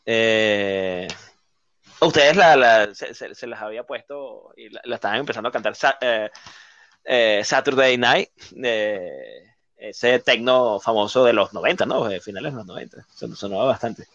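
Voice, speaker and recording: A man's voice: fairly low pitch, a little slow, fairly bright tone, no echo, highs cut off. A man co-hosting a casual music talk, hesitant and full of fillers. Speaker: podcast co-host. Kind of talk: casual chat.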